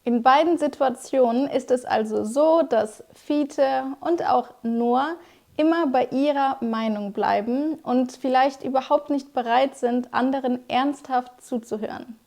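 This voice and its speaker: A young woman who is a German teacher, explaining vocabulary to viewers in a clear, scripted lesson style. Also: high voice, measured pace, a little mellow.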